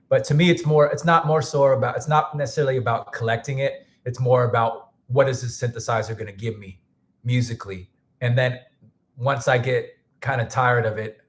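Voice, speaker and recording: A man hosting a casual music livestream, rambling loosely with many restarts and thanking viewers, a little hoarse as he starts losing his voice. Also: mid-range voice. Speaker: music producer and livestream host.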